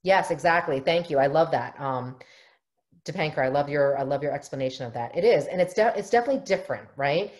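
A woman's voice: deep voice, lively intonation, quick pace. A middle-aged woman who is a family therapist, giving an online workshop from a home office over a webcam, earnest and explanatory. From United States. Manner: earnest, explanatory, often acts out quoted voices. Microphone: webcam on a video call.

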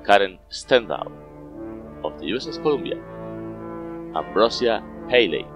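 A man reading scripted announcements as a podcast announcer. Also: on the low side. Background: music with brass and strings.

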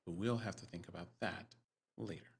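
A man improvising in character in a tabletop roleplaying game over a video call, using formal, measured wording. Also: low-pitched, fairly even intonation, creaky, slight background noise. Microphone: close mic on a video call.

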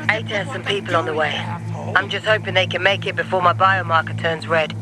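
An actor playing a stranded young soldier in a video game, wry and vulnerable, speaking in a natural conversational way. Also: male voice, high-pitched, steady tone, a little fast, music in the background, close to an everyday voice. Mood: uneasy.

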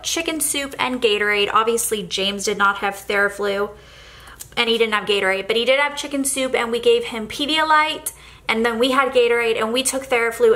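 A woman's voice: a little high, pushed and bright. A young woman vlogger with a congested, nasal voice from a cold, chatting casually with lots of fillers while narrating a planner session. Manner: chatty, rambling with many fillers.

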